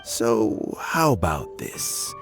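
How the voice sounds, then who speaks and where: An elderly, courteous statesman from a video game. His low voice is gravelly and worn, moving slowly through formal, measured phrases with wide rises and falls. Faint music plays behind him. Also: very animated, heavily acted. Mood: genial, deliberate. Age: older. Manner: measured, formal, genteel speech. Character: elderly male statesman, weary, courteous, discreet planner.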